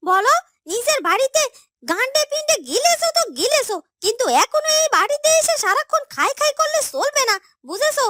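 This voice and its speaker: A woman voicing a scheming, nagging young married village woman in a fairytale cartoon, with emphatic, drawn-out scolding and syrupy concern. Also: very high-pitched, sharp tone, dry recording, studio quality, full character voice. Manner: falsely sympathetic, scolding, dragged-out emphatic words. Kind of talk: Bengali fairytale cartoon dubbing.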